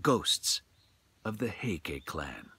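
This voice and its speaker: A man's voice: low-pitched, very animated, extremely slow, pushed and bright, husky, no room sound. A middle-aged man who works as a storyteller, talking and narrating in a dramatic way. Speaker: storyteller, voice actor. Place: outdoors in a forest.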